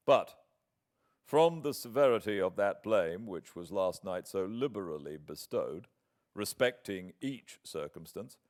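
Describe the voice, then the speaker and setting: A British man reading in the part of a proud, wounded young gentleman in a classic novel, formal, measured and emphatic. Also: fairly low pitch, close to an everyday voice. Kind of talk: dramatic audiobook reading.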